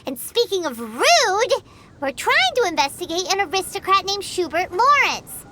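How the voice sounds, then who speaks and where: A woman voicing a tiny, excitable, childlike fairy companion with a squeaky voice, in anime game style. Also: normal speed, noisy background. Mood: blunt explaining. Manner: speaks of self in third person. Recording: loud music over the voice.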